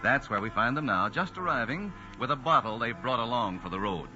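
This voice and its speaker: Old time radio announcer guy, animated, dull recording w music under him. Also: mid-range voice, a little fast. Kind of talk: 1940s radio announcing, scripted narration. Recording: dull vintage broadcast with music.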